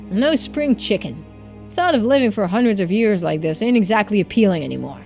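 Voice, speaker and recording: A woman's voice: mid-range voice, animated, fairly quick, a little mellow, soft background music, close to an everyday voice. A woman voicing a cantankerous, dismissive old male tycoon from a video game, with blunt, folksy old-timer phrasing. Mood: reflective, gruff.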